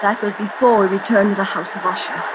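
An actress playing a gentle, anxious young noblewoman, earnest and polite, in 1940s radio acting style. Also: medium pitch, fairly even intonation, fast, close to an everyday voice. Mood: frightened, reluctant. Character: gentle, anxious, dutiful young female cousin. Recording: dull, noisy old radio recording.

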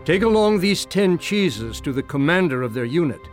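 Fatherly older man, careful instructor, scripture drama, lively intonation, faint music. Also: on the high side, moderate pace. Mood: concerned, instructing. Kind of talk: dramatized scripture audiobook acting.

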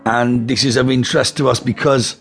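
A man's voice: mid-range voice, level tone, brisk, fairly bright tone, breathy edge, faint music behind, some chatter behind, pitched above the performer's own voice. A man voicing a cold, probing male gangster with a coarse, swearing manner, in a storybook reading.

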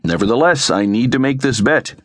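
A man's voice: medium pitch, expressive, brisk, no room sound, studio quality, lightly acted. A man voicing a confident, wry male special-operations leader in an audiobook adventure story. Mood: persuasive. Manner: assured, wry.

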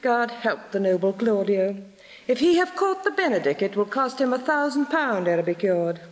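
An actress playing a sharp-tongued, scornful young lady in articulate Shakespearean stage style. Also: medium pitch, sing-song, moderate pace, long reverb tail, lightly acted. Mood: scornful, teasing. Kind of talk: theatrical Shakespearean stage acting.

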